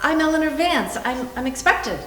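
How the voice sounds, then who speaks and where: Woman acting anxious young-sounding female guest, high voice, pleading, hesitant, timid indignation, stage drama, roomy hum. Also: expressive, normal speed, a bit bright. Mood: polite, anxious. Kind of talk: staged readers theater. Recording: roomy echoey stage recording, humming noise.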